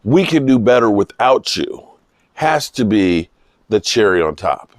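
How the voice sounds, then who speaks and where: Slightly hoarse man, slow and deliberate. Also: fairly low pitch, sing-song, dry recording, studio quality. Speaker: football vlogger giving post-game reaction. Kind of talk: unscripted emphatic sports commentary. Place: outdoors in misty rain.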